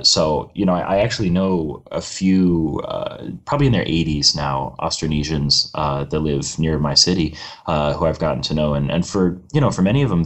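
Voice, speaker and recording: Male podcast guest, extremely deep voice, measured and explanatory. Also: fairly bright tone. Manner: measured, lecturing, with filler "you know" and "uh". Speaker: guest historian.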